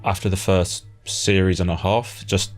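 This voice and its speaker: Man, deep pitch, casual host, blunt informal chat, light music behind. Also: flat delivery, normal speed. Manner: informal, blunt, swears freely. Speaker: interviewer, host.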